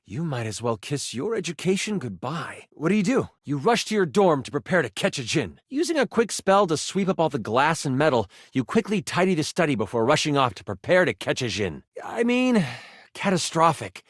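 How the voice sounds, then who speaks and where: An actor playing a theatrical game master, narrating a fantasy adventure in a dramatic, suspenseful way. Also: male voice, a little high, no room sound, clean studio sound. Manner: dramatic, suspenseful second-person storytelling.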